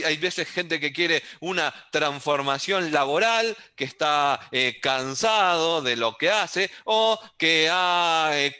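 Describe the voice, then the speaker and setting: A man's voice: fairly high pitch, sharp tone, clear, resonant tone. A man in his fifties, from Uruguay, with a Buenos Aires Argentine accent, giving an online lecture over a video call in a conversational way and often checking in with his listeners. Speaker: guest lecturer.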